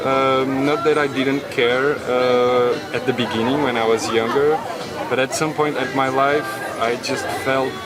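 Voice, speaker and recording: A man from Portugal, a herbalist stallholder, talking haltingly with many fillers in a casual interview. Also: mid-range voice, fairly even intonation. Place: outdoor market. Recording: loud music and crowd outdoors.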